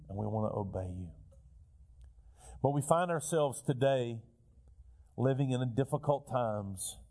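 A male pastor preaching a sermon while fighting a cold, his voice a little raspy, building earnest lists of repeated phrases. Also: mid-range voice, warm tone. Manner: earnest preaching, repetitive lists, rising emphasis. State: has a cold, slightly raspy.

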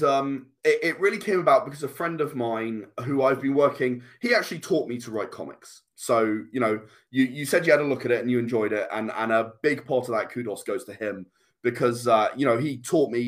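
A man speaking as an interview guest, explaining and storytelling conversationally, halting and repeating words as he goes. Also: mid-range voice. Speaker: podcast guest, comic writer.

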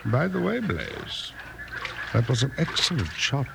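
An actor playing a cold, scheming villain in an old radio western, speaking with mocking, formal politeness. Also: male voice, mid-range voice, sing-song, measured pace, full character voice. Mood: commanding. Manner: smooth, mocking, overly formal. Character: cold, scheming male villain who orders killings. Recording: noisy old radio drama recording.

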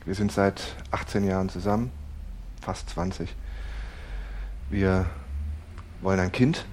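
An actor playing a tense, nitpicking husband who justifies himself in a naturalistic audio drama. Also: male voice, sits low, steady tone, slow pace, a little mellow, a little hiss or hum. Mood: earnest, hesitant. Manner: defensive, hesitant when explaining.

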